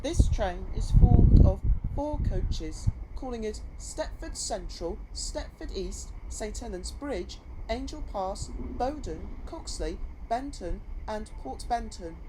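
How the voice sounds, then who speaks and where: A woman's voice: medium pitch, lively intonation, takes it slowly, few pauses. A woman reading scripted train platform announcements in a formal manner, in stitched-together phrases. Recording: clear background noise, vehicle ambience.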